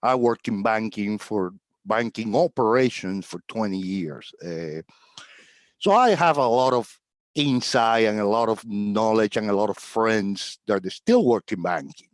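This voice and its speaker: A middle-aged man from Cuba, a tax agent talking as a guest on a video call in a relaxed, rambling way with fillers and chuckles. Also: lowish voice, a little slow, dry recording. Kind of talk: casual video-call interview.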